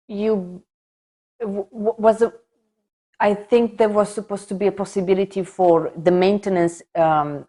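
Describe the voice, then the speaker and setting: A woman co-hosting a conversational discussion, reasoning through points in a slightly halting, tentative way. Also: lowish voice, dark tone. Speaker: podcast co-host.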